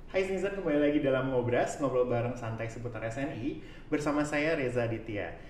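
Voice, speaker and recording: A man's voice: medium pitch, fairly quick. A man hosting a casual talk show in an informal, chatty way. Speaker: podcast host. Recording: roomy echo, distant mic, background noise.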